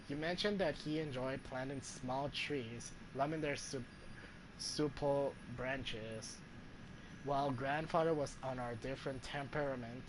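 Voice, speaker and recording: A man live streaming a game, reading story text aloud haltingly, often stumbling and correcting himself. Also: mid-range voice, background noise. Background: game music.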